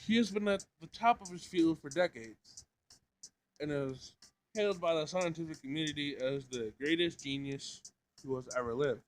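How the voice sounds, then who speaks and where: A man voicing a blunt, literal-minded robot in a schoolgirl's body, formal and deadpan, in a visual novel. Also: on the high side, moderate pace, lightly acted.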